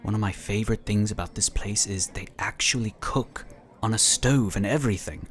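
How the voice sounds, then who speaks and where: A man's voice: on the low side, normal speed, a little hiss or hum, lightly acted. A man playing an affable, talkative and polite psionic inquisitor in a sci-fi audio drama. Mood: friendly, enthusiastic. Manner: talkative, easygoing, explanatory.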